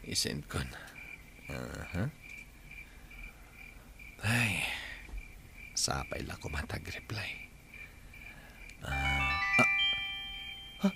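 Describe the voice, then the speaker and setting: A man's voice: low-pitched, noisy background, heavily acted. A man with a Filipino Ilocano accent playing a philandering, evasive husband in a radio comedy drama, sly and easily flustered. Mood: scheming, pleased. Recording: music under the voice.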